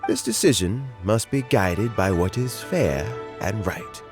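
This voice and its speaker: A gentle elderly mentor in a story-driven video game. His voice is on the low side and rises and falls expressively. He talks slowly and reflectively, like someone at ease with growing old, over clear background music. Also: very animated. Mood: earnest, solemn. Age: older. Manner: gentle, reflective, chuckling, deliberate. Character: elderly kindly mentor official nearing retirement, fond of fishing. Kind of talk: video game dialogue acting.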